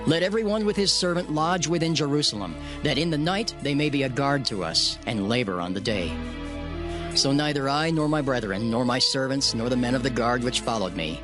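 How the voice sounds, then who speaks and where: A man narrating a dramatized King James Bible reading in a solemn, measured way. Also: mid-range voice. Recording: narration over clear music bed.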